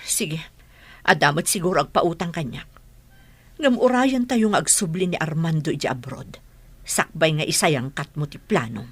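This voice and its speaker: A woman's voice: low-pitched, lively intonation, normal speed, a bit bright, slightly rough, no room sound, full character voice. An actress playing a sly, scheming stepmother in a radio drama. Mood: conniving. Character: scheming stepmother, adult woman.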